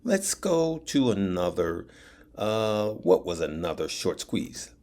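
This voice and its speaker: A man with a deep voice hosting a stock trading channel, giving casual advice and driving his points home by repeating them and checking in with "right?". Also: sing-song, slow, darkish tone, no room sound. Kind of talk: casual finance advice to viewers.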